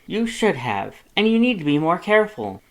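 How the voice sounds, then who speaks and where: A man's voice: high voice, sing-song, moderate pace, pitched above the performer's own voice. A man voicing a pompous, dramatic male skeleton with a grandiose, formal, over-enunciated way of talking. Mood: earnest, scolding.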